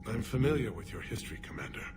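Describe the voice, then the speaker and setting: A man's voice: fairly low pitch, big pitch swings, fast. An actor playing a weary, grave male alien military leader, speaking solemnly and with dignity over game music. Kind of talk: video game voice acting.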